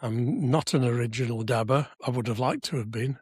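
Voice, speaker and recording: A man in his seventies from Wales with a slightly husky voice, chatting through memories in an anecdotal way with dry asides. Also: mid-range voice, brisk, a little mellow, no echo. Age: 70s. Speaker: podcast guest, civic society member. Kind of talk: conversational reminiscence. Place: podcast studio.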